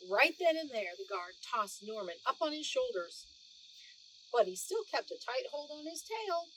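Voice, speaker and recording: A woman narrating a children's storybook aloud in an expressive read-aloud style. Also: high voice, moderate pace, slight background noise, poor audio. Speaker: storybook reader.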